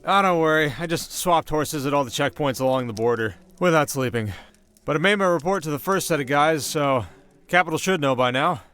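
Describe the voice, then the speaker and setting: A man's voice: fairly high pitch, fairly quick, fairly bright tone, clear, resonant tone, natural voice. A man voicing a loyal, tireless scout with an informal, breezy manner in a fantasy audio roleplay. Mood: breezy, then concerned. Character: loyal, casual, tireless male scout reporting to his king.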